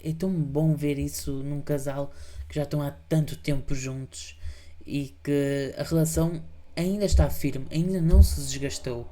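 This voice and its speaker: A man's voice: fairly high pitch, fairly even intonation, moderate pace, dark tone. A man with a European Portuguese accent hosting a reaction show, chatting casually and insistently urging viewers to subscribe. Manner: insistent, repeats pleas to subscribe and like. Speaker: host reacting to videos. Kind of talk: casual commentary.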